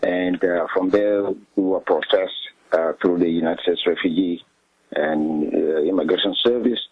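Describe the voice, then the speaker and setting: Middle-aged male interview guest, South Sudanese accent, low pitch, hesitant delivery with many ums, very dry close sound. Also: a little slow, husky, no echo, highs cut off. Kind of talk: recounting personal history in an interview.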